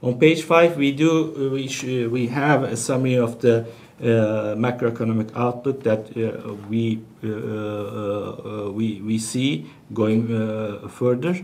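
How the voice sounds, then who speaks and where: A man presenting financial results as a bank executive, speaking in a halting, hesitant way with searching pauses. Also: medium pitch, fairly even intonation, a little mellow. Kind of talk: business presentation, unscripted financial briefing.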